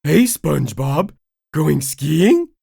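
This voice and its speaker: Woman voicing dim starfish buddy: raised pitch, booming, slow, dopey, dry read. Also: big pitch swings, creaky, mellow, breathy edge, no echo, full character voice. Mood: dopey, loud. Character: dim-witted friendly male starfish, loud.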